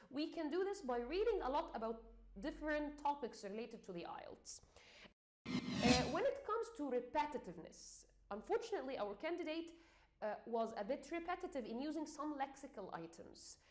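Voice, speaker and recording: A woman's voice: high-pitched, a little mellow. A woman with an Egyptian accent giving a teaching talk, explaining with frequent fillers and restarts. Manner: hesitant, many fillers, repeats and restarts. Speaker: English exam teacher.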